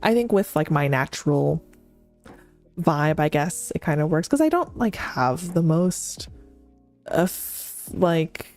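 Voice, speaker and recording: Woman, young adult, fairly deep mellow voice, chatty, rambling, many fillers, streaming mic. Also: lively intonation, slow pace. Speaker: VTuber streamer. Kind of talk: casual chat stream. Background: faint background music.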